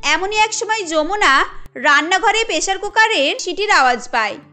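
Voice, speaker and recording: A woman narrating a Bengali cartoon story in a steady, explanatory storytelling way. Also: very high-pitched, normal speed, faint music behind.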